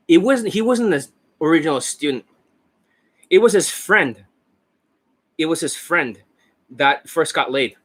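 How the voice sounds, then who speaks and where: Hoarse male dating coach with a slightly raised pitch, boastful and rambling, coughing through a desk-mic monologue. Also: fairly bright tone. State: hoarse, losing his voice. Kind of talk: casual monologue over a screen share. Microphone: desk microphone.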